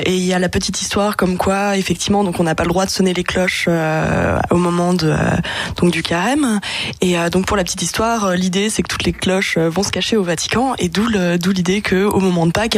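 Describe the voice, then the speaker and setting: A woman's voice: fairly low pitch, no echo. A woman giving an informal explanatory radio talk, hesitant and often circling back as she goes. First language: French. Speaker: radio contributor presenting a segment.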